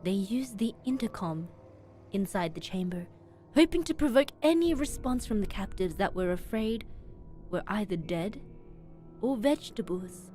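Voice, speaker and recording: A woman streamer reading a horror story aloud in expressive, dramatic narration with emphatic pauses. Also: mid-range voice. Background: background music.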